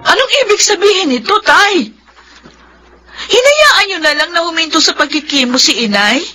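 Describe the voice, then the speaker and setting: A woman's voice: very high voice, expressive, normal speed, over music. A Filipino woman playing a bitter, wounded grown daughter in an emotional, melodramatic radio drama. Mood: bitter, accusing.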